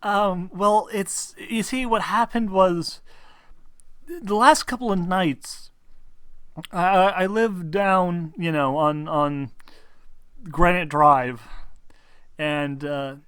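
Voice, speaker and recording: A man voicing a nervous woman who haltingly asks for help in a tabletop game. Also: high-pitched, fairly bright tone, clear, resonant tone, no room sound. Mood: nervous, hesitant. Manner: halting, stammering. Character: nervous woman client asking investigators for help.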